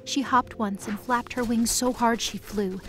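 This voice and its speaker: A woman narrates a mythology audio drama in a scripted storytelling style. Her voice sits a little high, and music plays clearly underneath. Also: steady tone, measured pace, fairly bright tone.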